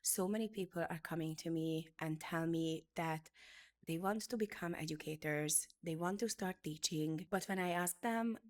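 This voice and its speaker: A woman's voice: fairly low pitch, normal speed. A middle-aged woman from Hungary whose first language is Hungarian, giving an earnest, emphatic motivational talk as a beauty business educator. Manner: earnest, emphatic, repeats phrases for effect.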